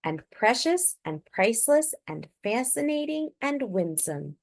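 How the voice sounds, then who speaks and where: A woman voicing a proud, doting father in a storybook, emphatic and deliberate. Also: fairly high pitch, big pitch swings, dry recording, pitched above the performer's own voice, heavily acted. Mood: adoring.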